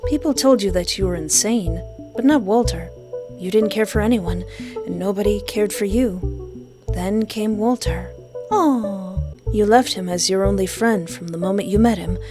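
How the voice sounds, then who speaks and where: Female game player, medium pitch, expressive narration with casual asides, clear music underneath. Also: normal speed. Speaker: game player reading and reacting. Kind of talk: expressive read-aloud narration with casual reactions. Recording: music behind the voice.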